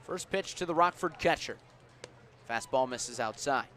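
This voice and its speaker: A man calling baseball live on the radio, measured between pitches and punchier on plays, as an announcer does. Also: expressive, bright, forceful tone. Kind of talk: live radio sports commentary. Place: outdoor baseball ballpark. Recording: outdoor ballpark ambient noise.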